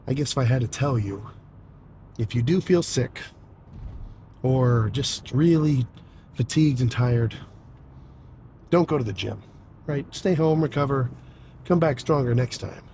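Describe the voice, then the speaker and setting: A man's voice: medium pitch, darkish tone, slight background noise. Stuffy-nosed young man rambling casually. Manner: laid-back, rambling, swears freely, frequent throat clearing. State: stuffy, congested with a cold. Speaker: bodybuilding vlogger. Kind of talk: casual vlog talk to camera.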